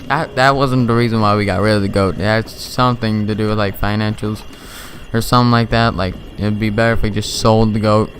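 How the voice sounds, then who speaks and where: Teen dude rambling over his zombie game, normal pitch voice, game music in the back. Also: a bit bright. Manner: rambling, chatty, joking asides. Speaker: gaming video host. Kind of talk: casual gameplay commentary. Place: home, recording at night. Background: game sounds and music.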